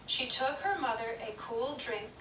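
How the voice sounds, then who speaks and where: A woman storyteller narrating a puppet show story in a gentle, measured reading. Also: a little high. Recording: distant, roomy, noisy room.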